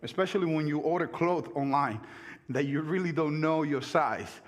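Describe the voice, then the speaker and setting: An earnest male pastor in his thirties whose first language is Spanish delivers a sermon with a slightly raised pitch. He circles back over his phrases and slips into the doubting inner voices of his congregation. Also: normal speed.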